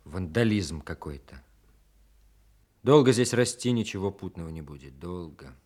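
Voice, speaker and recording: Low-voiced, brooding army sapper. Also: male voice, expressive, moderate pace, a little mellow. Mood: brooding, regretful. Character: brooding, reflective army sapper, mournful yet dutiful man. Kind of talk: Soviet radio play acting.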